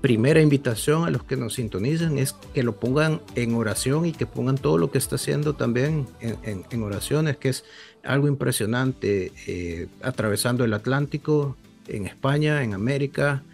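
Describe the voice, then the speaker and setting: Anfitrión masculino, voz áspera, tono deferente y vacilante. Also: male voice, medium pitch, normal speed, creaky, a little mellow, faint music behind. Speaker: podcast host. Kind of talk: interview questions.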